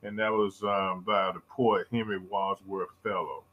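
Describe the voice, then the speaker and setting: A man's voice: deep voice, flat delivery, unhurried. A man hosting a radio interview show, chatty and rambling with plenty of fillers. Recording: dull lo-fi sound.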